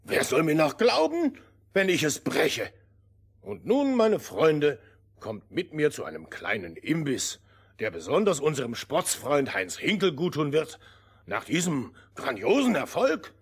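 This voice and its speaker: Ein Schauspieler spielt in einem Märchenhörspiel einen eitlen, hinterlistigen alten König, pompös und theatralisch. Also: male voice, on the high side, very animated, unhurried, gravelly, slightly rough, exaggerated voice. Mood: solemn, resigned. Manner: pompous, theatrical. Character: vain, scheming old fairy-tale king, mocking then whining. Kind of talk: radio play fairy tale acting.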